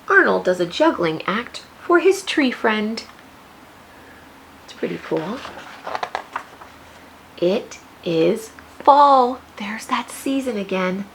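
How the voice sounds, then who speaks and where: An American woman teacher reading a picture book aloud to young children, expressive, with questions and teaching asides. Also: a little high, creaky, a little hiss or hum, poor audio.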